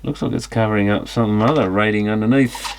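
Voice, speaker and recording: A man's voice: fairly low pitch, fairly even intonation, brisk, creaky, a little hiss or hum, rough recording. A man giving casual, unscripted teardown commentary on electronics, musing and thinking aloud as he examines things. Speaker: electronics repair hobbyist presenting a teardown.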